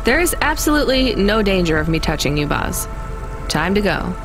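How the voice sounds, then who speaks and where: A woman's voice: medium pitch, big pitch swings, moderate pace, close to an everyday voice. An actress playing an impatient, sarcastic female night delivery driver in a video game. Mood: wry. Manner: dry, sarcastic. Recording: music over the voice. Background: music, vehicle noise.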